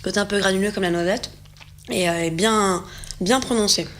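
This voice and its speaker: A woman's voice: medium pitch, moderate pace, a bit bright, a little hiss or hum. A young woman co-hosting a food segment, chatting casually and enthusiastically while tasting, with many hesitations. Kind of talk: casual tasting chat on a show set.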